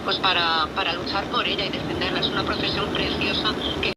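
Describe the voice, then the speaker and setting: Man, high pitch, earnest radio talk, shortwave pickup, very heavy noise. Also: little pitch movement, fast talker.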